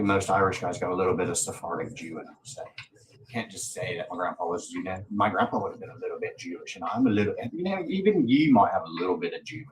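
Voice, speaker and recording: A man hosting a livestream, rambling casually in a boastful way with plenty of swearing. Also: sits low. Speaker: livestream host. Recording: roomy, distant mic, faint noise.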